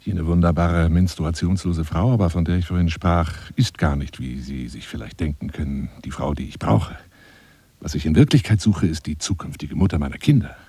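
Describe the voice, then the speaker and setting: An actor playing a lonely, self-dramatizing hunter in a radio drama monologue, wooing a woman in a courtly, theatrical, husky voice that drifts into whispers. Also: extremely low pitch, normal speed, mellow, no echo, exaggerated voice. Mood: wistful, pleading. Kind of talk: radio drama monologue as one side of a phone call.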